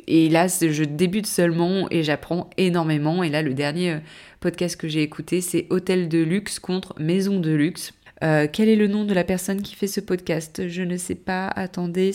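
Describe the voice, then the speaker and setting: A woman's voice: fairly low pitch, fairly even intonation, moderate pace, a little mellow. A woman hosting her own podcast, talking casually on her own and wandering off topic with lots of fillers and self-corrections while she has a cold. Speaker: podcast host. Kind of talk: casual solo podcast talk.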